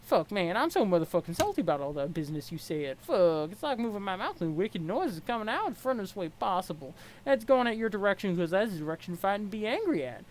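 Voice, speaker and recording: A performer voicing a young male alien slacker clown, mellow and foul-mouthed, who rambles in slangy, swearing sentences. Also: medium pitch, big pitch swings, quick pace, hardly any pauses, close to an everyday voice, rough recording. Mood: riled up. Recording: clear background noise.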